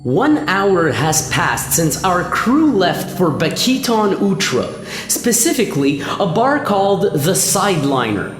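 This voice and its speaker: A woman's voice: lowish voice, sing-song, takes it slowly, reverberant, long reverb tail. A woman narrating a science fiction radio play in a scripted storytelling delivery. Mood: measured. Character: storytelling narrator of a space adventure. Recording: music behind the voice.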